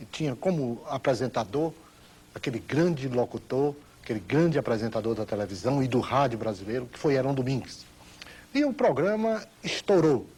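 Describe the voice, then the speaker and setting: A Brazilian man with a rough, husky voice of medium pitch recounts past television work. His phrasing is formal and measured, as in a recorded testimony. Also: a little mellow. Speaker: television programme creator giving testimony. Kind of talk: formal interview recollection.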